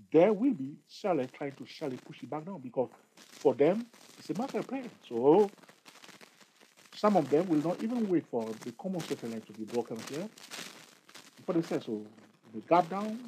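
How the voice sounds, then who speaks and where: A man's voice: a little high, dark tone, low-quality recording. Chatty man teaching from charts. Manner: chatty, repetitive, asks the viewer questions; jokes and laughs at himself. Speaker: trading tutor. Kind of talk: screen-share chart tutorial. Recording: faint background noise.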